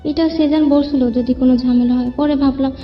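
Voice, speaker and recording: A young Bangladeshi woman recounts her own troubles as a show guest in a plaintive, rambling way. Her voice is fairly high and carries a long reverb. Soft music plays underneath. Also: fairly even intonation, a little fast, dull audio. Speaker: guest telling own life story. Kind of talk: personal spoken account. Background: background music.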